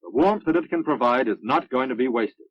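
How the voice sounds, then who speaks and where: An American man reading scripted narration for a military instructional film in a measured, instructive manner. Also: medium pitch, lively intonation. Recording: muffled, dry vintage film soundtrack.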